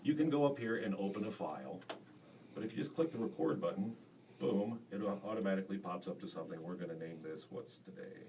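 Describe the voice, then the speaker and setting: Male studio teacher, very low and deep voice, relaxed and chatty, heard from off-mic with faint hiss. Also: quick pace, far from the mic. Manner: casual, many fillers. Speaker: broadcasting instructor. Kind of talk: classroom demonstration. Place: school radio studio.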